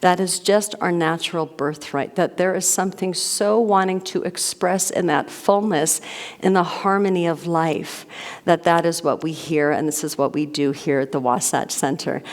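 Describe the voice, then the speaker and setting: Low-voiced woman minister in a reverberant sanctuary. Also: fairly even intonation, moderate pace. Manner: warm, gushing, rambling with repeated "so". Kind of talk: sermon welcome and announcements. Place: church sanctuary.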